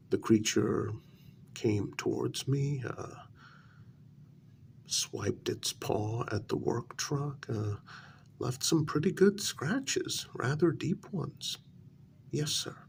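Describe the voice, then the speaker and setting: A man playing a nervous, deferential night-shift road worker in a soft-spoken ASMR role-play, halting and polite as he gives his account. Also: mid-range voice, creaky, slight background noise, full character voice. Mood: uneasy, evasive. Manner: halting, deferential, with frequent pauses and polite sirs. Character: deferential, nervous night road-crew workman, adult man, hesitant witness. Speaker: ASMR performer.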